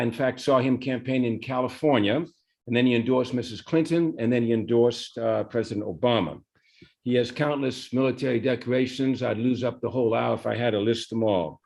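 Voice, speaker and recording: A man moderating a live conversation, introducing a guest and asking questions informally, with a slightly halting, conversational delivery. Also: medium pitch, vocal fry, a little mellow. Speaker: moderator, interviewer.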